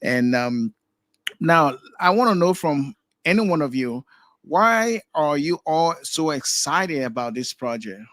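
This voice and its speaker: A man hosting a casual podcast discussion, speaking in a relaxed way with some hesitations. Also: a little high, no echo. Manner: relaxed, hesitant, fills pauses with um and uh.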